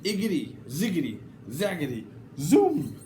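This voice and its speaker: A man voicing an excitable, good-hearted witch from a children's storybook, in exclamatory cries. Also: a little high, unhurried, noisy background, pitched above the performer's own voice. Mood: eager.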